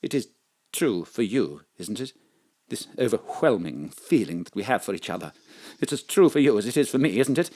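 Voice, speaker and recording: Earnest, low-voiced doomed lover. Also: male voice, big pitch swings, normal speed, darkish tone. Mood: earnest, yearning. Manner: earnest, questioning. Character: earnest, needy male lover in a doomed affair. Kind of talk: romantic melodrama audio acting.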